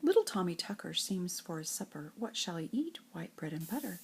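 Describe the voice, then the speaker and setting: An older woman with a breathy, husky voice reads nursery rhymes like a grandmother, in an expressive singsong storytelling way. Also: fairly low pitch, brisk, a little hiss or hum. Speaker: grandmother reading to children.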